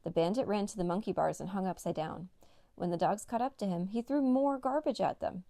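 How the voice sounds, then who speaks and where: Woman reading a kids book aloud, relaxed voice with some fry, no room echo. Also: medium pitch, brisk. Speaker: storyteller. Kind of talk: children's read-along narration.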